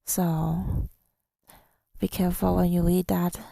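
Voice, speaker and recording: A woman telling a story in soft-spoken ASMR style, gentle and unhurried, slipping toward a breathy whisper, close to the microphone. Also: fairly low pitch, level tone, no echo. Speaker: ASMR audio creator.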